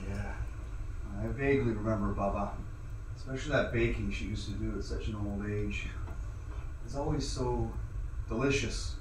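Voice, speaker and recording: A man's voice: on the low side, normal speed, pauses often, close to an everyday voice. An actor playing an opinionated man talking emphatically over drinks. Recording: very echoey, distant, faint hiss.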